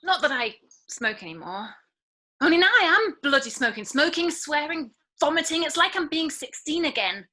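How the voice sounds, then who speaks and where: Casting: actress for British woman, young, broke and wry, socially awkward. High, bright voice. Drama read over video call. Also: lively intonation, moderate pace. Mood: bitter, wry. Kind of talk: script table read.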